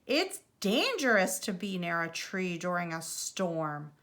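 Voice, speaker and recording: A protective mother fox from a storybook, read by a woman. She is firm and reassuring, with a bright tone and expressive, moving pitch. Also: slow pace, heavily acted. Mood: firm warning.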